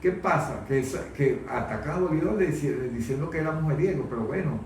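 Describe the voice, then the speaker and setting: A man giving opinions as a panel guest, haltingly, often repeating small words as he searches for phrasing. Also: fairly high pitch, a little mellow. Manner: halting, stammering repetitions of words. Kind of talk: opinionated unscripted discussion. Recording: very echoey room, distant mic, faint noise.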